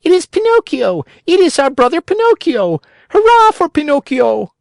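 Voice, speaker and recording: A man voicing jubilant, excitable wooden puppets with shrill screaming cries in a storybook reading. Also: moderate pace, vocal fry, dry recording, clean studio sound, heavily acted.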